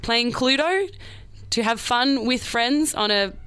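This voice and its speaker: A woman's voice: fairly high pitch, lively intonation, slow pace, a bit bright, clear, resonant tone, no room sound. A woman co-hosting a comedy podcast, bantering playfully in a mock-serious, emphatic way. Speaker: podcast co-host.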